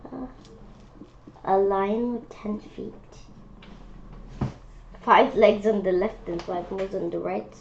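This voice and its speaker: Female children's book reader, unhurried and halting with curious asides; lo-fi audio with faint background noise. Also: medium pitch, vocal fry, darkish tone. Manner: halting reading with asides and wondering questions. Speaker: read-aloud presenter. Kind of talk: children's picture book read-aloud with spontaneous comments. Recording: dull lo-fi sound with faint noise.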